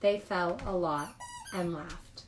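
A woman reading picture books aloud to young children like a childcare teacher, expressive and informal. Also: on the low side, takes it slowly, a little hiss or hum.

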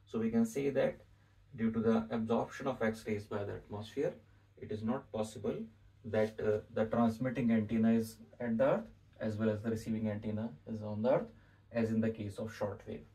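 A middle-aged Indian-accented man gives a whiteboard lesson in a deliberate, explanatory way. His voice is mellow and gravelly, picked up from some distance in a room. He repeats key phrases for emphasis. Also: lowish voice, level tone. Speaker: physics teacher. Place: small indoor room with whiteboard.

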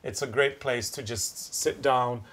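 A man's voice: mid-range voice, steady tone, unhurried, fairly bright tone. A middle-aged man, a native Norwegian speaker, chatting casually in English as he hosts a craft show-and-tell. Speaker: craft show host and designer. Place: small room with wood-panelled walls.

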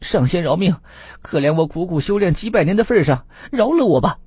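A man's voice: high-pitched, lively intonation, brisk, slightly rough, dry recording, dull audio, voice pushed up. A man voicing a frightened male fox spirit in Taoist form, pleading and panicky in an audiobook. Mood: begging. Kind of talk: audiobook character acting.

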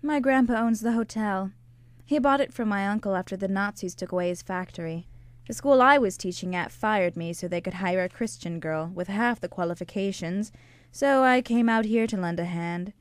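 An actress as a young American barmaid, plain-spoken and unimpressed, with a medium-pitched voice. It is radio drama dialogue, recorded dry and close. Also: female voice, moderate pace, no echo, lightly acted. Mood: matter-of-fact.

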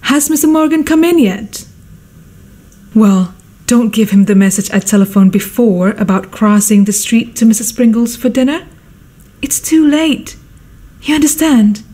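A woman's voice: fairly high pitch, animated, unhurried, dark tone. A woman playing a fussy, bossy society hostess and mother in an amateur radio drama, imperious and dramatic. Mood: brisk, commanding.

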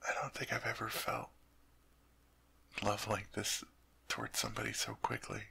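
A man voicing a doting young king in an audio roleplay, his voice gravelly and husky with a bright, tense edge. He stammers and repeats himself when flustered, then softens into gentle whispers. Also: fairly low pitch, heavily acted. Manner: soft tender whispering. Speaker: ASMR roleplay performer.

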